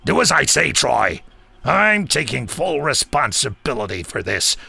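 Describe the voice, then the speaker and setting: A man's voice: medium pitch, very animated, unhurried, vocal fry, sharp tone, husky, faint music behind, heavily acted. A man voicing an irate, stubborn military commander who barks orders in an audiobook story. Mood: furious, commanding. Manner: barking, furious. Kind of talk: audiobook character acting.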